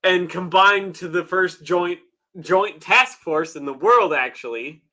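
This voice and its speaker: Podcast co-host: a laid-back man with a Minnesotan accent, bright, slightly high voice. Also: unhurried. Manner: laid-back, swears freely, laughs often. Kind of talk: casual chat.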